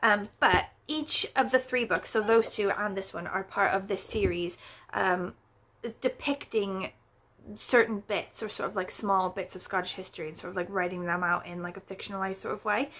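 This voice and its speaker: Casual book vlogger, a woman with mid-range pitch; chatty, rambling, wry. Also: steady tone, normal speed, fairly bright tone. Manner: chatty, filler-heavy, rambling. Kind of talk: casual book review chat.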